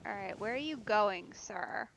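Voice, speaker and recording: A woman's voice: medium pitch, animated, measured pace, creaky, a bit bright, a little hiss or hum. A woman giving casual live commentary as she plays a game, musing aloud and reacting to it. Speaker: let's play streamer.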